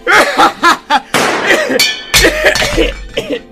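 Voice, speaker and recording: Wildly swooping sadistic villainess. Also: female voice, extremely high pitch, very animated, extremely slow, gravelly, over loud music, full character voice. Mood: mocking cruelty. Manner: sing-song taunting, cackling. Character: gleefully sadistic predatory villainess, cackling and mocking. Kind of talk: audio drama acting.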